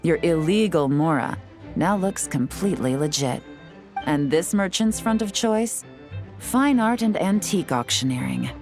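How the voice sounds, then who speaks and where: Composed female spy, lowish voice, calm measured delivery, soft game music. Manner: calm, measured, dryly explanatory. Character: cool, composed female intelligence agent. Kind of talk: video game voice acting.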